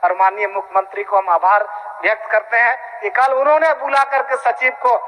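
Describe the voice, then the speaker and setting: A man's voice: high-pitched, fairly even intonation, a little fast, pushed and bright. A man delivers an emphatic political speech in an assembly, in long winding sentences. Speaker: ruling-party legislator. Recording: reverberant hall, public address sound.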